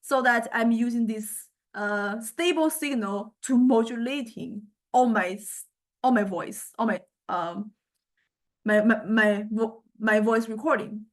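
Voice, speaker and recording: A woman lecturer giving an informal software demonstration, speaking haltingly and often repeating her words as she explains. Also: a little high. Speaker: music technology lecturer.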